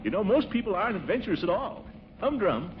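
Pompous eager middle-aged american blowhard, voice swoops all over, muffled noisy old radio comedy. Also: male voice, high-pitched, very animated, fast talker. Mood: boastful, cheery. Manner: blustery, exclaiming, hemming. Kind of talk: 1940s radio comedy acting.

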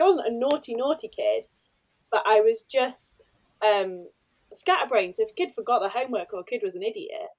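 A woman's voice: fairly high pitch, darkish tone, dull audio. A woman, a podcast host and former maths teacher, chatting eagerly and filling her talk with "kind of" and "like". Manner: chatty and enthusiastic, with many fillers like "kind of" and "like". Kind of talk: casual chat.

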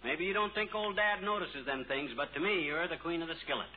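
An actor playing a blustery, wisecracking American husband with folksy, punchy delivery in 1940s radio comedy style. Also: male voice, on the high side, expressive, fairly quick, lightly acted. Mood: jovial, wisecracking. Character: blustery, wisecracking middle-aged husband, McGee. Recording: very noisy, tinny old radio broadcast.

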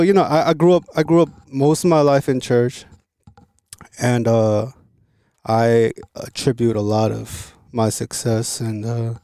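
A man from Korea raised in Los Angeles, telling his story as a guest in a relaxed, repetitive way. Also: mid-range voice, no room sound, studio quality. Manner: relaxed, repeats words while thinking. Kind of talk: casual interview.

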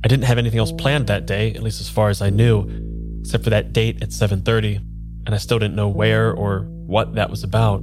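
A man playing an awkward, unsure amnesiac in an improvised audio drama, halting in dialogue and reflective as he narrates. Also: on the low side, close to an everyday voice. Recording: music behind the voice.

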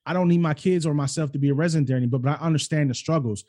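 Man, middle-aged, quick pace, mellow dark tone, emphatic podcast host. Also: a little high. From New York City and Cleveland, Ohio. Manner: emphatic, animated storyteller. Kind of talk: casual interview chat.

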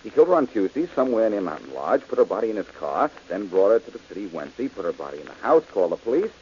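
A cocky, sharp radio detective. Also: male voice, fairly low pitch, sing-song. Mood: assured. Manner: brisk, self-assured reasoning. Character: clever confident male detective, adult. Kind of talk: 1940s radio acting. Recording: dull old radio broadcast with hiss.

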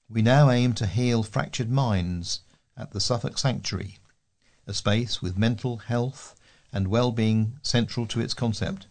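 A man's voice: on the low side, darkish tone. A man with a British accent reads local news articles aloud as a volunteer newsreader.

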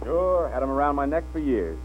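An actor playing an easygoing, kindly movie-studio pal in a 1940s radio drama, on a tinny, humming recording. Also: male voice, medium pitch, sing-song, fairly quick, darkish tone, slight background noise, natural voice.

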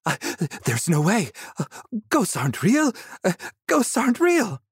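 A man voicing a frightened young man in a story, nervous and stammering, in a shrill voice. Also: fairly even intonation, takes it slowly, a little mellow, breathy edge, no room sound, clean studio sound. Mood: panicked disbelief. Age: young adult.

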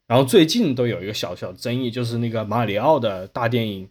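A man's voice: mid-range voice, expressive, fast, darkish tone. A man hosting a casual podcast discussion, explaining his points through examples. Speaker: podcast host.

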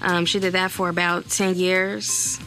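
A woman's voice: fairly low pitch, little pitch movement, measured pace, bright, forceful tone, faint music behind, rough recording. A woman giving an informal, slightly hesitant spoken recollection, as in an interview.

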